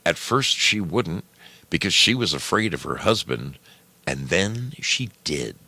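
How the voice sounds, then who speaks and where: A man's voice: low voice, very animated, measured pace, creaky, pushed and bright, no echo, full character voice. A man voicing a plainspoken rustic witness who rambles through a gruesome folk legend as an oral history. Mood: eerie, earnest.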